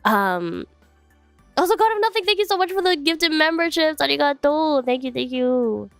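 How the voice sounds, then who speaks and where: A woman's voice: high voice, lively intonation, moderate pace, gravelly, no echo. A young woman streamer, a little congested, chatting casually with viewers from home and drifting between topics. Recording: dry close mic, faint music behind.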